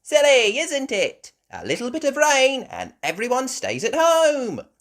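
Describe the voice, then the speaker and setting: A woman's voice: high voice, wildly swooping pitch, a little slow, pitched above the performer's own voice, exaggerated voice. A woman voicing a breezy, chatty female rabbit shopkeeper in a children's story.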